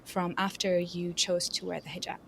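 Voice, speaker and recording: A woman's voice: lowish voice, little pitch movement, moderate pace, vocal fry, breathy edge. A woman hosting a podcast interview, introducing the episode and asking her guest long, friendly questions. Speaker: podcast host.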